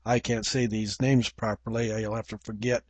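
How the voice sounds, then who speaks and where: An older man with an English accent, preaching a measured, reflective Bible teaching with frequent pauses. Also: lowish voice, flat delivery, slightly rough, no room sound.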